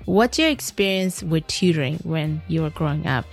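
A woman hosts a relaxed interview, her voice sitting a little low for a woman. She keeps a friendly flow of questions and "mm-hmm"s, and faint music runs in the background. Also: normal speed, gravelly. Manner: warm backchannels, frequent "mm-hmm", friendly questions. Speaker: podcast host. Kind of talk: casual interview chat.

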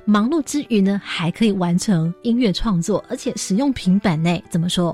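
A woman's voice: medium pitch, animated, fairly quick, dark tone, faint music behind. A woman with a Taiwanese Mandarin accent hosting an educational radio show, chatty and explanatory, speaking directly to listeners. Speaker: radio host.

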